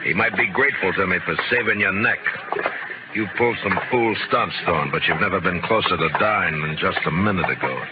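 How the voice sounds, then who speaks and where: An actor playing a stern, steady Old West marshal in a 1950s radio drama, speaking in terse, dry commands. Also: male voice, sits low, a little fast, natural voice. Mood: stern, commanding. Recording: noisy, muffled old-time radio recording.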